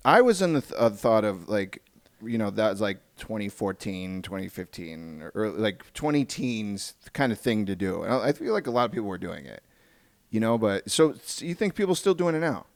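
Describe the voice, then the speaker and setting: A man hosting a podcast in casual interview chat, halting and often restarting his phrases. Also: on the low side, sing-song. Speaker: podcast host. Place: podcast recording.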